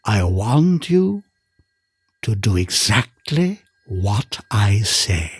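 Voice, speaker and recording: An actor playing a theatrical stage hypnotist in an audio drama, with a grandiose, commanding manner. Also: male voice, lowish voice, sing-song, very slow, breathy edge, dry recording, studio quality, full character voice. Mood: hushed, hypnotic.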